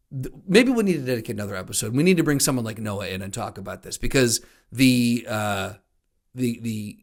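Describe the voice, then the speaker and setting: A man co-hosting a podcast in casual movie chat, venting with profanity and exasperation, often repeating himself for emphasis in a halting way. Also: mid-range voice. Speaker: podcast co-host.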